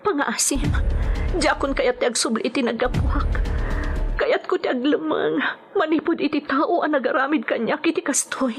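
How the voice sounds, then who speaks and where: A scared, injured young orphan woman begging for protection. Her voice sits high, with an Ilocano accent and the pleading delivery of radio drama. Music runs underneath. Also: normal speed. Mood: fearful, pleading. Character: frightened, pleading injured orphan woman hiding from abusive man.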